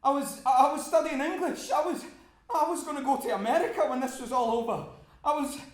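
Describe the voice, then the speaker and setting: A frantic young Scotsman, played by a man, laughs and shouts through his panic. His voice runs high, and the room around it echoes. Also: moderate pace, close to an everyday voice. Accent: Scottish. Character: young Scottish student worker, desperate and joking. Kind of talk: dramatic monologue acting.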